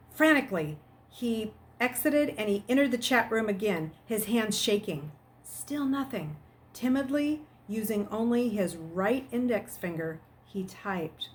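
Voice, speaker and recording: A middle-aged woman, a teacher, reading aloud and speaking to her students in an expressive storytelling manner. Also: medium pitch. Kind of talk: expressive narration of a children's novel and chat to students.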